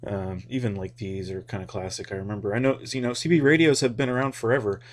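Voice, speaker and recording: Low, even-toned American man chatting casually. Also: quick pace. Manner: informal, hesitant, thinking aloud. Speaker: hobby radio video host. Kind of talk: casual, rambling explainer talk.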